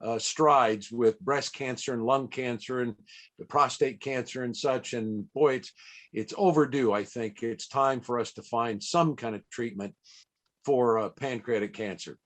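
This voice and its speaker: A man hosting a radio event over a video call, telling stories in a chatty, folksy and halting way. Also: mid-range voice. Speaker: interviewer and radio event host. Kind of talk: casual reminiscing on a video call.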